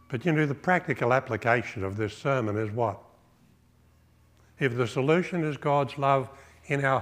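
Slow-speaking older man preaching. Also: mid-range voice. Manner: slow, measured preaching with rhetorical questions and lists. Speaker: pastor. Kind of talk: sermon. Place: small church hall with drum kit, indoors.

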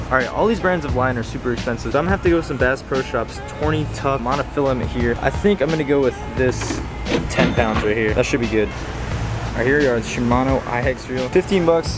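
Fast-talking young American man, chatty. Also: mid-range voice. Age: 20s. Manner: informal, chatty, addresses viewers as guys. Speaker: fishing vlogger. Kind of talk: casual vlog talk to viewers.

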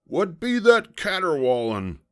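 Gruff pirate captain, hollering, storybook style, pitch jumping way up and all over. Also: male voice, huge pitch swings, measured pace, a bit bright, heavily acted. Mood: indignant, alarmed. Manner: hollering, theatrical pirate talk. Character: loud, gruff, blustering male pirate captain. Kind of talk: storybook character voice.

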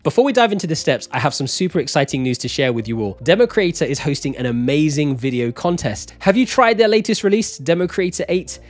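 A man in his thirties hosting a scripted tutorial in an emphatic, animated presenter style, close to a desk microphone. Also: fairly high pitch, fast talker, few pauses. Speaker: host of a software tutorial channel. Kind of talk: scripted upbeat video tutorial narration. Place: small home-style studio, indoors. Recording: background music. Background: music.

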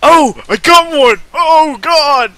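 A young man voicing an excitable, melodramatically panicked male story hero, shouting and overwrought. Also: extremely high pitch, takes it slowly, no room sound, pitched above the performer's own voice, exaggerated voice. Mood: excited.

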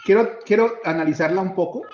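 A man leading a casual mapping workshop over a video call, chatty and quick to laugh, often repeating his words. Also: high voice, a little mellow, slight background noise. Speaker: workshop presenter sharing his screen. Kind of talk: casual live screen-share demo. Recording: echoey room, slightly dull audio.

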